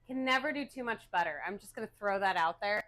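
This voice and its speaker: A woman's voice: medium pitch, lively intonation, quick pace, pushed and bright, rough recording. A woman co-hosting a cooking show in casual livestream chat.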